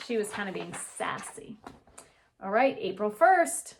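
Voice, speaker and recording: Slow-paced woman reading aloud to children. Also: mid-range voice, sing-song, a little hiss or hum. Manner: instructive, asks the listener questions and answers them. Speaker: teacher reading aloud to young pupils. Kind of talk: children's storybook read-aloud with chatty asides. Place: indoor reading nook at home.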